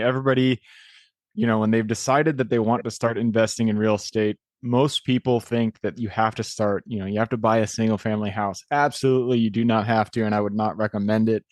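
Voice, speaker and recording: A man's voice: mid-range voice, level tone, fairly quick, no echo. Podcast host guy, upbeat and encouraging, lots of ums, dry close sound. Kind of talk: casual podcast interview.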